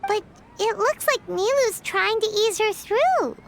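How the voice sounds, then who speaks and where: A woman voicing a tiny, eager and curious fairy companion in a video game, with a squeaky childlike voice. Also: lively intonation, unhurried, creaky, fairly bright tone, a little hiss or hum, heavily acted. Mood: thoughtful.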